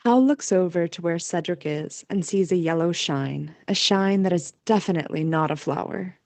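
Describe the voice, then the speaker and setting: A woman's voice: lowish voice, moderate pace, darkish tone, dry recording. A woman reading scripted story narration as the narrator of an amateur audio drama.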